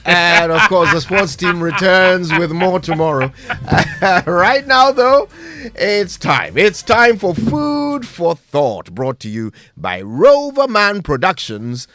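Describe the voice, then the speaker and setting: A man hosts a morning radio show with an animated, bouncing delivery, often breaking into laughter. His pitch swings widely and the sound is close and dry. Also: slow, no echo. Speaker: radio host.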